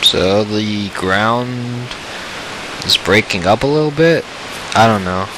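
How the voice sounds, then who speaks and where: A man's voice: fairly low pitch, slow, background noise. A man giving casual, reacting commentary as the host of a gaming video. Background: game music.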